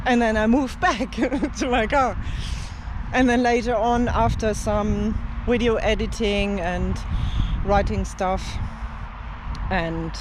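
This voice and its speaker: Slow, rambling speaker. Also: mid-range voice, steady tone, noisy background. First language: German. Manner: unhurried and rambling, with many fillers and light laughs. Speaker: language teacher and travel vlogger. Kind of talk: casual talk to camera. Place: outdoors in an open field.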